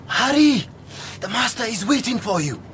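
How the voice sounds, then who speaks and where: A hushed, urgent guide. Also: male voice, very high-pitched, sing-song, normal speed, slight background noise, exaggerated voice. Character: secretive servant guide, urgent and quiet.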